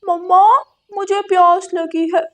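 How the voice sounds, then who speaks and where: A woman's voice: very high voice, little pitch movement, measured pace, a bit bright. A woman voicing a small, petulant young male crow in a moral-story cartoon, with a childish, whiny manner. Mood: thirsty.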